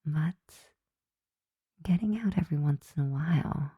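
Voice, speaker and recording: A woman playing a flirty, softly dominant, affectionate vampire roommate in an intimate ASMR roleplay, breathy and often whispering, teasing and tender. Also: deep voice, moderate pace, no echo, phone-quality sound. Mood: fond, gently teasing.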